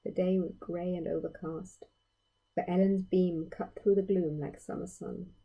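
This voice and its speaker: A woman narrates a story for children in a soft-edged, relaxed voice with some huskiness. Her delivery is measured and expressive, with emphatic phrasing and a touch of fry. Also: on the low side, poor audio. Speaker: author reading own story.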